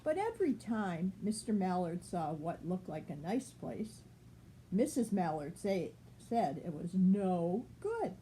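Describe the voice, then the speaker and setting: A woman's voice: fairly low pitch, very animated, slow pace, dark tone, a little hiss or hum, rough recording. An older woman reading a picture book aloud as a grandmother, explaining things simply for a child with casual asides.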